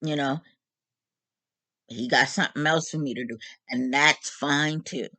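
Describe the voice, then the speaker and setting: A woman in her late fifties talking openly to her audience in a casual, animated, joking monologue. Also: low voice, unhurried, no echo. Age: 50s. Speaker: vlog host.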